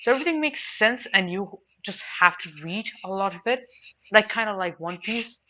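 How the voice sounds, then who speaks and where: Bright-voiced woman rambling through a casual review. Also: mid-range voice, lively intonation, normal speed. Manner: rambling, emphatic, repeats words for stress. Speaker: anime and manga reviewer. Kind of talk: casual unscripted opinion review. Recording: dull lo-fi mic with faint noise.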